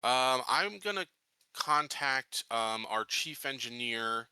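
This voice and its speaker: A man's voice: medium pitch, animated, slow, sharp tone, clean tone, no room sound. A man playing a tabletop game, talking through his choices in an informal, hesitant way. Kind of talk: casual game discussion.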